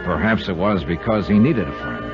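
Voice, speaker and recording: An actor playing a brisk, dogged male insurance investigator with a clipped, hard-boiled delivery in a 1950s radio detective drama. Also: sits low, expressive, music in the background. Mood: businesslike. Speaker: radio actor, lead and narrator. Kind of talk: 1950s radio detective drama, acting and first-person narration. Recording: dull vintage radio recording.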